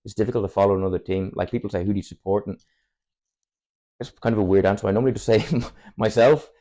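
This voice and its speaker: A man's voice: fairly low pitch, steady tone, quick pace, dark tone. A man in his twenties with an Irish accent, a native Irish speaker, chatting candidly as a footballer guest on a podcast, rambling and chuckling often. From Ireland, Cork. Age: 20s. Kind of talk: casual podcast chat.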